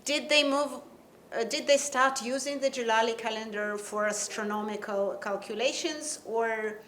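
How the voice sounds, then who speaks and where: A woman's voice: a little high, normal speed, a bit bright. A woman chairing an academic seminar, thanking and questioning a speaker in a live session. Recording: live seminar with faint background noise.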